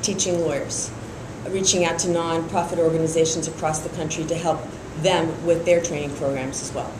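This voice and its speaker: Measured middle-aged woman, echoey room. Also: low voice, noisy background. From Iran, raised in San Francisco. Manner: steady, measured, with frequent fillers. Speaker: district attorney candidate. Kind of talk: endorsement interview.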